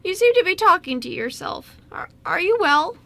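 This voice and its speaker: A woman's voice: extremely high pitch, very animated, moderate pace, creaky, a bit bright, exaggerated voice. A woman voicing a concerned, hesitant old lady in an amateur radio play. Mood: worried. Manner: hesitant, fussing.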